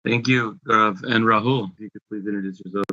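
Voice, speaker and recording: A man's voice: on the low side, steady tone, quick pace, pushed and bright. A man in his forties moderating a real estate panel, introducing speakers with frequent "uh" fillers. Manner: hesitant, many "uh" fillers. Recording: dull lo-fi sound.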